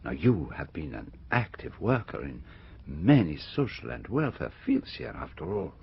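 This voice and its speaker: An actor with a French-speaking Belgian accent playing a courteous, formal detective who probes deliberately in a radio mystery. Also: male voice, rumbling low, wildly swooping pitch, creaky, a little hiss or hum, heavily acted. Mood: insistent. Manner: formal, deliberate, politely insistent. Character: courteous, probing middle-aged Belgian detective. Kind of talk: radio mystery drama acting. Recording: dull, muffled radio drama recording.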